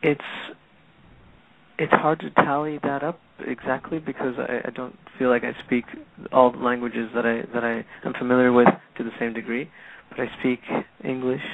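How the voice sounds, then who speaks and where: A middle-aged man from Peru whose first language is Spanish talks as a guest on a radio show. His voice is gravelly and sits at an average pitch. It comes through a thin, phone-like line, and he pauses and repeats words as he gathers his thoughts. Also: level tone, no echo. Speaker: musician guest. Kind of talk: casual interview chat.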